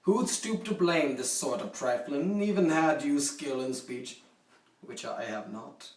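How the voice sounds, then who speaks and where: A man playing a haughty, possessive, coldly jealous duke in a theatrical, deliberate dramatic poetry recitation. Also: on the high side, animated. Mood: disdainful. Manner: theatrical, deliberate, swinging from hushed to forceful. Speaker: poetry reader. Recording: roomy echo, distant microphone.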